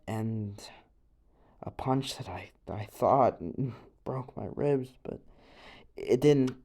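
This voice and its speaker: A man acts out an earnest young American from a small town, confessing over the radio in an audio drama. He takes his time, at a mid-range pitch, stammering and rambling. He sounds rattled and set on exposing a hidden truth. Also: warm tone. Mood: subdued, uneasy. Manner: halting, stammering, rambling, sighing.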